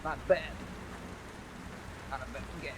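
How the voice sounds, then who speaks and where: A man's voice: mid-range voice, steady tone, slow pace, heavy background noise, close to an everyday voice. An actor playing a bitter, vengeful gunman, strained and gasping as if badly wounded, in an old-time radio drama. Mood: bitter, fading.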